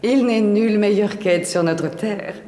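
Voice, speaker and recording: A woman's voice: mid-range voice, unhurried, mellow, roomy sound, lightly acted. A woman playing a vain, scheming middle-aged queen in a dubbed cartoon fairy tale, speaking in a formal, theatrical way. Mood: haughty, coy.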